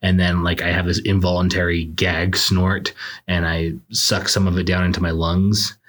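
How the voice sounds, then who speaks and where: Hoarse, deep-voiced young man joking. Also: little pitch movement, moderate pace, a bit bright. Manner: self-deprecating, joking, laughs often. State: hoarse, recovering from illness. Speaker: podcast host, law student. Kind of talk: casual monologue and interview.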